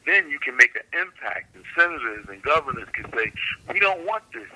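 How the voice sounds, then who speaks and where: A man's voice: a little high, sing-song, fairly quick, bright, forceful tone, rough recording. A man, a council candidate guest on a radio show, speaking earnestly and repeating phrases for emphasis. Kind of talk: radio interview answer.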